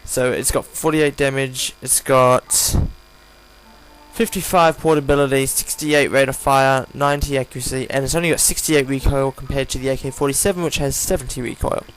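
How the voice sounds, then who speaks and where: A tired man with a croaky voice gives casual, unscripted game commentary, rambling and hesitant as he reviews. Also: mid-range voice, fairly even intonation, moderate pace, a bit bright, faint music behind, poor audio. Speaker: gaming video host reviewing a weapon. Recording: home recording with audible hum, background noise.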